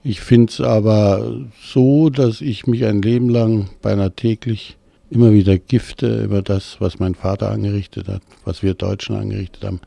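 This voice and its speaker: An older man with a gravelly, very dark voice talks bluntly and with emphasis. The recording is dry and close. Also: on the low side, steady tone, normal speed, dry recording. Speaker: interviewee.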